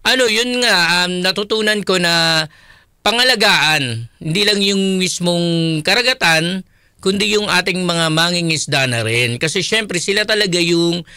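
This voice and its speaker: A man's voice: high voice, unhurried, bright, forceful tone, clean tone, no room sound, clean studio sound. A man with a Filipino accent co-hosting a radio program conversationally, mixing Filipino with English. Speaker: radio co-host.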